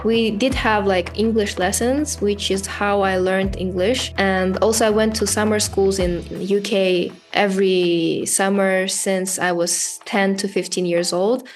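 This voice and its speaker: A young woman from Kazakhstan, Russian her first language, talking as an interview guest in a relaxed way with fillers and easy laughs. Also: mid-range voice, unhurried, few pauses, soft background music. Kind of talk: casual interview conversation.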